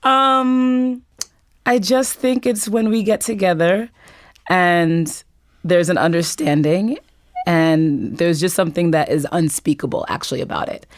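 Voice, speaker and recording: Low-voiced woman in dry, casual conversation. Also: fairly even intonation, measured pace, no echo. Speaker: panel guest.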